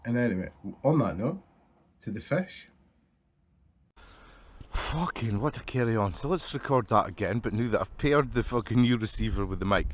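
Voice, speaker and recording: A man's voice: mid-range voice, animated, normal speed. A Scottish man vlogging about his fishing, chatting casually with lots of swearing and ums, his voice stuffy and nasal from a blocked nose. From Scotland. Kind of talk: casual chat to camera.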